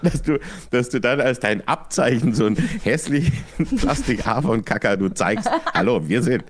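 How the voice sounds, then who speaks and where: A man telling an anecdote casually in a halting, rambling, self-mocking way. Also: medium pitch, big pitch swings. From Germany.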